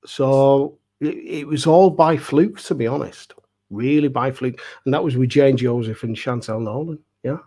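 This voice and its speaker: A man's voice: mid-range voice, unhurried, mellow. A middle-aged man, telling anecdotes casually and self-deprecatingly as an interview guest. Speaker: pantomime dame performer, interview guest.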